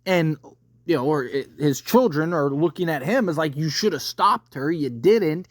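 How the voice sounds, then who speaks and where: A man's voice: on the high side, normal speed, no room sound, clean studio sound. A man giving casual spoken commentary as a video creator, emphatic and often rephrasing his points to stress them. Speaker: video creator reviewing a TV series.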